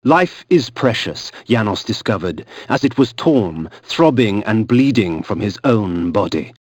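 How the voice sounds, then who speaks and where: A man's voice: on the low side, lively intonation, unhurried. An actor playing a brooding, vengeful vampire nobleman, narrating a game in a theatrical, grave and ornate manner. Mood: grave, solemn. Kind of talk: dramatic scripted game narration.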